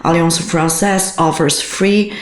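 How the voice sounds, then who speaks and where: Woman, slow halting delivery, casual review, echoey room. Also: fairly low pitch, fairly even intonation. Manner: hesitant, many uh fillers, non-native phrasing. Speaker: co-host reviewing a language course.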